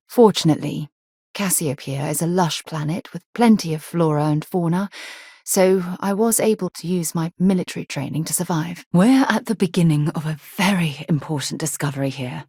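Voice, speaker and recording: A principled female space explorer in a video game. Her voice is fairly low and husky, recorded close and dry. She speaks with measured, articulate sincerity, like someone trained in the military. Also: a little mellow, no echo. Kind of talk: video game companion dialogue acting.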